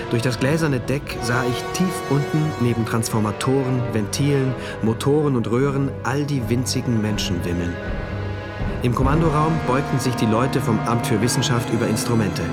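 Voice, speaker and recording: A man's voice: medium pitch, close to an everyday voice. A man acting an anxious, introspective narrator in a German dystopian radio drama, telling it in brooding short phrases. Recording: loud music behind the voice.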